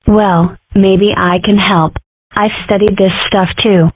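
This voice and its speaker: A woman's voice: mid-range voice, steady tone, takes it slowly, no echo. A woman reading parts in a fan comic dub in a matter-of-fact, wry way. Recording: dry phone-like audio.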